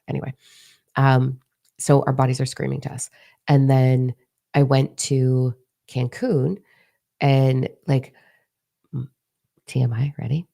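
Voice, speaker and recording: Hoarse woman, candid and chatty. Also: extremely low pitch, warm tone. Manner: candid, swears freely, chatty and confiding. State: hoarse, partly lost voice. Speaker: spiritual teacher. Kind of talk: casual spiritual talk to followers.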